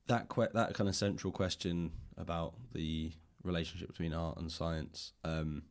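Low-voiced man interviewing casually. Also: fairly even intonation, normal speed. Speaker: podcast host, interviewer.

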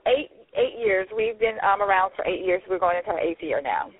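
A woman's voice: medium pitch, lively intonation, a little fast, breathy edge, no room sound. Chatty woman in her forties on a phone line. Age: 40s. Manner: upbeat, chatty, many fillers. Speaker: pageant system founder, guest. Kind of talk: casual interview over the phone.

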